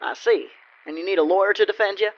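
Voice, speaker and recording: An actor playing a smug, folksy country lawyer in a comic radio play, with theatrical courtroom patter. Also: male voice, high-pitched, fast, muffled recording. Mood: smooth, unbothered. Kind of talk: comedic old-time radio play acting.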